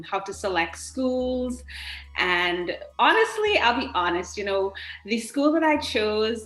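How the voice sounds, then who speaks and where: A woman's voice: mid-range voice, fairly bright tone. A woman giving conversational interview answers as a podcast guest in a roomy, echoing space. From Trinidad.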